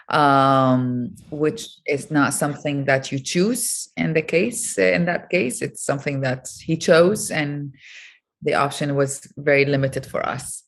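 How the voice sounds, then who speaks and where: A middle-aged woman from Iraq with a notably low voice talks as an interview guest. She thinks aloud, pausing often with soft fillers before going on. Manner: thoughtful, many pauses and fillers. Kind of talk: reflective interview talk.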